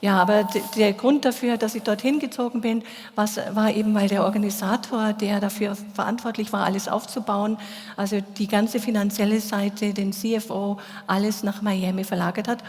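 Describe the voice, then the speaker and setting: A woman's voice: mid-range voice, little pitch movement, normal speed, a little mellow. Woman interpreting on stage, mid voice, long hall echo. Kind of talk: consecutive interpreting into German. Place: large hall.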